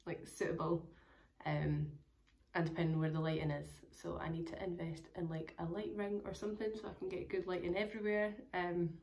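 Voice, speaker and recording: A young woman talks casually to a camera in a small room at home. Her voice sits a little low for a woman and sounds hoarse and crackly. She rambles along with plenty of "like" and "um.". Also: little pitch movement, brisk, a little mellow. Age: young adult. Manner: chatty, rambling, many fillers. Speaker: travel vlogger.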